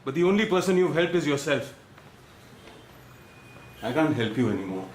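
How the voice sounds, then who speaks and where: A man's voice: a little high, big pitch swings, normal speed. An actor playing a bitter, desperate man with an Indian accent, insistent and reproachful, in a naturalistic radio drama. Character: bitter, desperate Indian man demanding money for dangerous work. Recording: noisy background ambience.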